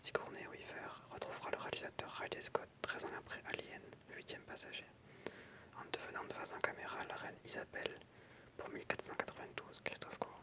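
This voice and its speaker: An ASMR creator whispering in French, reading aloud softly with informal, hesitant asides. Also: brisk.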